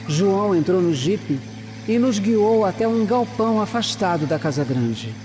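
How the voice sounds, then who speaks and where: A woman playing a shrewd, seasoned Brazilian investigator in an audio drama, serious and measured. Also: medium pitch, animated, dark tone, in a large hall, faint music behind, lightly acted. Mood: grave, measured. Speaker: lead actor and first-person narrator. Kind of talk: audio drama acting and scripted narration.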